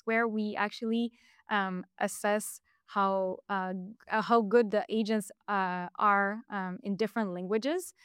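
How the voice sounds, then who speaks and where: Quebec French woman explaining, smooth voice. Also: medium pitch, no echo, studio quality. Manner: explanatory with frequent fillers and restarts. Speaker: guest. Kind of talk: casual interview.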